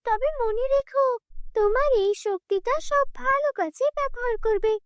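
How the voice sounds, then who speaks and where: A kind magical fairy from a cartoon tale, played by a woman, speaks extremely high. She is gentle and earnest, like a helper giving advice. The sound is close and dry. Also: moderate pace, no room sound. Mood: granting, advising. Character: kind, helpful magical fairy granting wishes.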